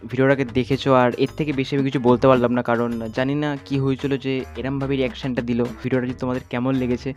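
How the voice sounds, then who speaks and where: Fast-talking young man, music behind. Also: mid-range voice, level tone, a little mellow, dull audio. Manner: informal, mixes in English phrases. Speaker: prank call video host. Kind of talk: casual chat to viewers and teasing phone prank.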